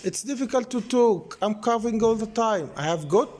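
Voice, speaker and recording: Lively male English teacher. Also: high voice, moderate pace. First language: Arabic. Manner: explains step by step, repeats page numbers. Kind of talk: classroom lesson explanation.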